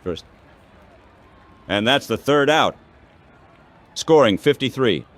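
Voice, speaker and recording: A man calling baseball play-by-play in measured, clipped phrases, like scripted radio commentary. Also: mid-range voice, lively intonation, a bit bright.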